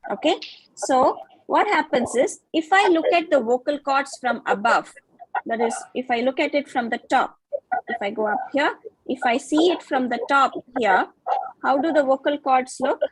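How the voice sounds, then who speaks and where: A woman with a high, bright-pitched voice gives a lecture over a video call. She explains step by step, often pausing to ask whether the class follows. The sound is dry and close, with no room echo. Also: breathy edge. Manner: patient, checks understanding with frequent "okay" and questions. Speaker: guest lecturer in phonetics.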